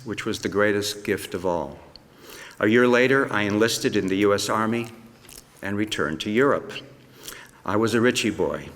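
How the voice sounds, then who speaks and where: An older man speaks in a measured way, reading a prepared speech from notes. His voice sits fairly low. A long hall reverb trails after each phrase, the sound of a theatre stage. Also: a bit bright. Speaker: Holocaust survivor speaker.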